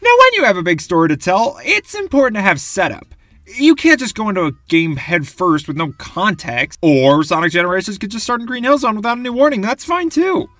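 A young man giving scripted, wry comic narration about video games in a casual, animated way. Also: high voice, fairly quick, no room sound. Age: young adult. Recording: dry voice-over with music behind.

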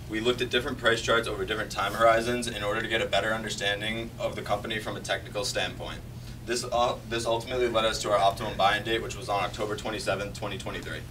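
A young male student presenter summarizing a decision. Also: fairly low pitch. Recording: distant voice with room noise.

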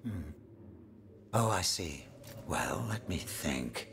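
A man's voice: deep voice, big pitch swings, slow, creaky, a bit bright, a little hiss or hum, heavily acted. An actor playing a pompous, silky statesman and schemer in a video game, theatrical, florid and courtly. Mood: suave, wry. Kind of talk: video game cutscene acting.

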